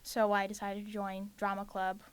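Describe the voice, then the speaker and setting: A woman's voice: mid-range voice, monotone, moderate pace, clean tone. Teenage female student, casual interview voice. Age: late teens. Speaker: student actor, interview guest.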